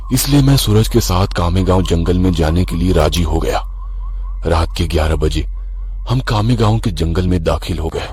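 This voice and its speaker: A man's voice: deep voice, a little mellow, slight background noise. A man from Maharashtra, India, reading a scripted first-person horror story as its narrator.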